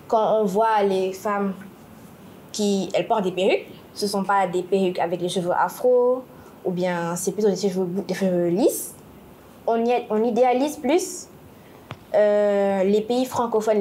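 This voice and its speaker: A young woman gives her view in a class book discussion. She is thoughtful and pauses to search for her words. A faint hiss runs behind her. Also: medium pitch, steady tone, warm tone.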